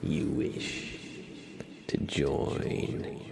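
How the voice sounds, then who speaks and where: A man playing an ominous dark-side sorcerer in a whispered ASMR roleplay, slow and solemn.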